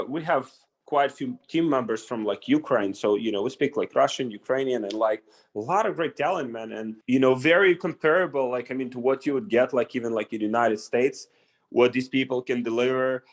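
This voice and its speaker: A man hosting a casual interview, asking long rambling questions packed with filler words. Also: mid-range voice. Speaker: interview host.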